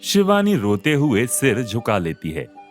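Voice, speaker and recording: A man narrating a scripted animated moral story. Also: mid-range voice, unhurried. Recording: music behind the voice.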